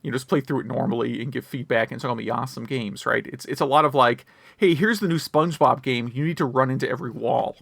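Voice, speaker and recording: Wry, rambling man with creaky voice. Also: medium pitch, fast, fairly bright tone, no room sound. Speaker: podcast co-host. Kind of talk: casual chat about video games.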